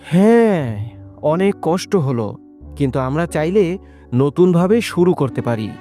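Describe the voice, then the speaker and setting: Man playing earnest village farmer, lively and high-pitched. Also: a little slow, faint music behind. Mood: reassuring. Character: Rahim, hardworking optimistic village farmer. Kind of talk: Bengali moral-story cartoon dialogue.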